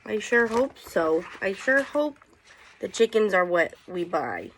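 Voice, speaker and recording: A woman's voice: mid-range voice, big pitch swings, fairly bright tone, slight background noise, poor audio. A woman teacher reading a picture book aloud to children, casually prompting them with questions as she goes. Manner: unhurried, inquisitive, prompting listeners with questions.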